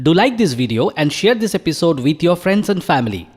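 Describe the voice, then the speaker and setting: A man's voice: fairly high pitch, big pitch swings, very slow, husky. A man reading a scripted promotional announcement as the announcer of a show. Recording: long reverb, music underneath. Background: faint background music.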